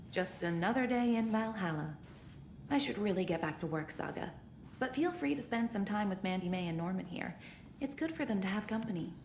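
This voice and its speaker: Game role: indulgent care home woman, mid pitch, wry, coaxing, roomy echo. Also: very animated, moderate pace, a little hiss or hum. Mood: resigned, kindly. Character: chatty, long-suffering care home worker, indulgent with elderly residents. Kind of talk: video game cutscene acting. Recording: echoey room with faint ambience.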